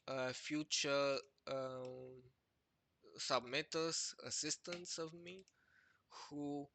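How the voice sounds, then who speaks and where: A young adult man, a native Romanian speaker, presenting his database project over a screen walkthrough, talking haltingly with many fillers and restarts. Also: a little high, pushed and bright, no room sound. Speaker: project creator presenting a website. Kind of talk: screen-recorded walkthrough narration.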